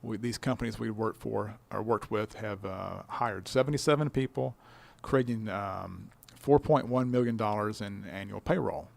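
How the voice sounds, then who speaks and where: A man's voice: lowish voice, normal speed, gravelly. A man speaking conversationally as an interviewed director, explaining things in a folksy, stop-and-start way. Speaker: business incubator director being interviewed.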